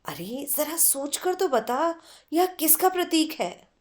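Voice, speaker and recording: Storybook role: playful, insistent young art-student woman, done by a female narrator, high voice, leisurely pace. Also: big pitch swings, slow pace, heavily acted. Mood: defensive. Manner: insistent, exclamatory, teasing.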